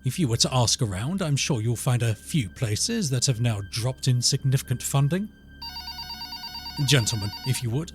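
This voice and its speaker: A man's voice: mid-range voice, animated, normal speed, soft background music, lightly acted. A man playing a discreet bank manager in a podcast drama, with a dry, formal way of talking. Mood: dry, confiding. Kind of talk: podcast audio drama acting.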